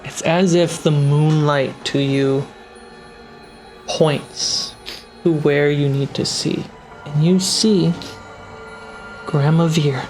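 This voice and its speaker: A game master narrating a tabletop fantasy game in a measured, dramatic way, pausing between phrases. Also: mid-range voice, a little mellow.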